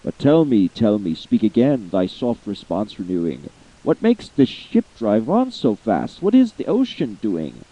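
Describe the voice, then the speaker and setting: An insistent male spirit of the air, as a man puts him on, fires off question after question in a storybook poem. The voice is low and husky, and the sound is dull and lo-fi. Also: wildly swooping pitch, a little slow, full character voice. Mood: pressing.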